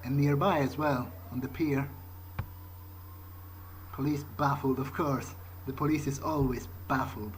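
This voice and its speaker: A man's voice: medium pitch, fairly even intonation, slight background noise, lightly acted, poor audio. A man playing a newly turned vampire, thinking aloud in a hesitant, pragmatic, wary way as he role-plays a video game. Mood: pensive. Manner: hesitant, halting musing. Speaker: gamer role-playing his character. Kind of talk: in-character gameplay thinking aloud.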